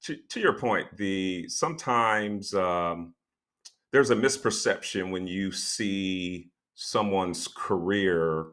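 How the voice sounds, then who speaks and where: A man's voice: deep voice, takes it slowly, a bit bright. A man hosting an interview show, a non-practicing attorney, speaking hesitantly with many fillers and restarts.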